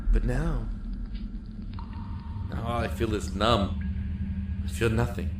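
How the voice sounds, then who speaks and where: A man playing a despairing, regretful prisoner in a dark cell, speaking haltingly and reflectively in a dramatic audio monologue. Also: fairly low pitch, background noise. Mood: numb, weary. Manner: halting, reflective, emotive delivery. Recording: echoey, with music underneath.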